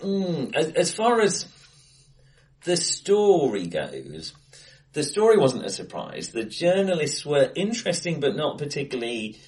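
Man with very lively intonation. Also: a little high, unhurried. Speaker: podcast co-host reviewing films. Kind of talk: casual film discussion. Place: indoors, face to face in a reverberant room. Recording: echoey, acoustically bright room.

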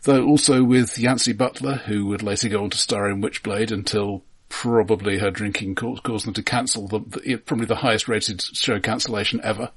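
A man co-hosting a film podcast, talking casually in a halting but precise, explanatory way. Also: fairly low pitch, steady tone, breathy edge.

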